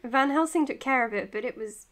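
An actress playing an anxious, caring young doctor in an audio drama, hesitant and dryly exasperated. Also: female voice, high-pitched, a little fast, a bit bright. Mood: worried. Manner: hesitant, flustered, dryly sarcastic. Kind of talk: naturalistic audio drama acting.

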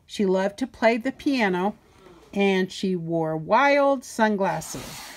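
An older woman reading a children's picture book aloud in a playful, sing-song way with deliberate emphasis. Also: mid-range voice, animated. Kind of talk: storybook read-aloud.

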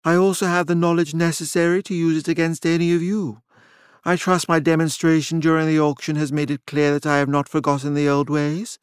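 A calm, deliberate male leader with quiet menace, acted by a man narrating. He speaks formally and with measured weight in a dark audiobook story, pitched a little up. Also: smooth, dry recording, studio quality, natural voice. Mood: calm, assured.

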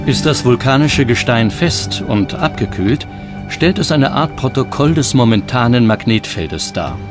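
A man reading scripted documentary narration in a measured, explanatory manner. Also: medium pitch, expressive. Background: background music.

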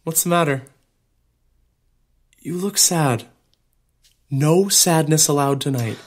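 A man's voice: fairly high pitch, slow pace. Young male groom, American, tender, playful tease, naturalistic radio play. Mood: playful, caring. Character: young groom, out-of-work sous chef, tender and teasing. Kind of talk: naturalistic audio drama acting.

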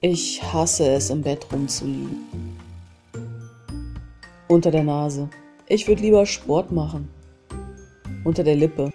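Low, mellow-voiced woman guiding tapping. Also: slow pace, long pauses, faint music behind. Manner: calm, repeats phrases and calls out tapping points. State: has a cold. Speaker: self-help channel host. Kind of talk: guided EFT tapping session.

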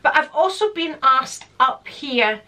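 A woman's voice: sits high, takes it slowly. A woman in her fifties, born in London, with a Scottish accent tinged by London Greek, chatting and rambling to camera at home. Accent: Scottish with some London Greek. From London, England. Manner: chatty, rambling, expressive hand gestures. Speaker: channel host. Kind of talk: casual to-camera vlog chat. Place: home room with shelves of handbags.

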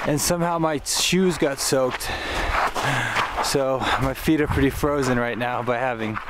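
A man's voice: medium pitch, steady tone, measured pace. A young man talking casually to a handheld camera outdoors as a travel vlogger. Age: young adult. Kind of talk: casual vlog talk. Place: outdoors on a windy mountainside. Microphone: handheld camera at arm's length. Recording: noisy outdoor camera audio.

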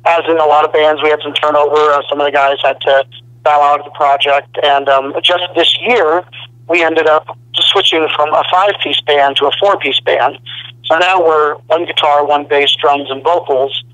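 A young man, a rock band's singer, talking on a phone interview in a relaxed, rambling way full of "you know.". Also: a little high, normal speed, no echo. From Arizona. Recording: dry telephone line.

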